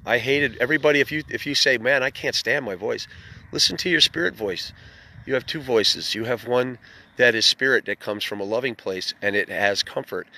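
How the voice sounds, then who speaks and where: A man's voice: mid-range voice, normal speed, pushed and bright. A man hosting a casual livestream outdoors by a lake, greeting viewers by name and musing at length. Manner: greets viewers by name, rambling reflective talk. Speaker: livestream host. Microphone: phone held while filming.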